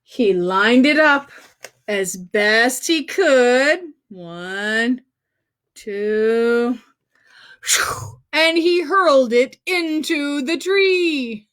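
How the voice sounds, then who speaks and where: High-pitched, playful woman reading a picture book. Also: expressive, a bit bright. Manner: playful, exclaiming, dramatic emphasis and drawn-out words. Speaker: children's storyteller and host. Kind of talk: animated picture-book read-aloud with asides to young viewers. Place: recorded on a livestream.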